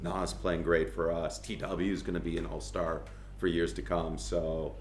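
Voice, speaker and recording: A man's voice: deep voice, flat delivery, moderate pace, reverberant, a little hiss or hum. A man who plays hockey, chatting as a podcast guest in an easygoing, self-deprecating way. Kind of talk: casual chat.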